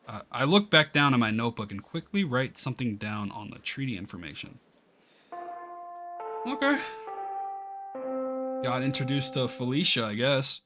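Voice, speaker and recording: A man's voice: mid-range voice, sharp tone. A man streaming a visual novel, reading its text aloud with casual asides and often stumbling and rereading lines. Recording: music playing behind the voice. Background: game background music.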